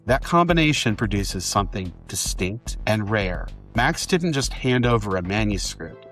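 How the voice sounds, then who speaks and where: A man's voice: lowish voice, big pitch swings, moderate pace, fairly bright tone, faint music behind. A man speaking as a podcast host and publisher, presenting and interviewing. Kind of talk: scripted podcast introduction, then casual interview.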